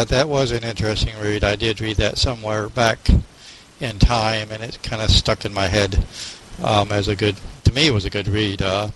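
A man with a fairly low voice talks casually about reading in a group discussion. He feels his way through sentences, backing up to correct himself. Faint noise and clicks sit behind him. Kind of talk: casual book club discussion.

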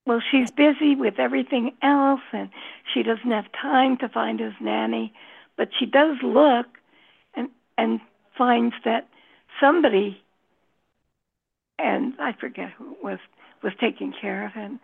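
Slow, gravelly-voiced woman on a phone line. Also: on the high side. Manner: slow, hesitant, many pauses and fillers. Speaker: book group member. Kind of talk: casual discussion.

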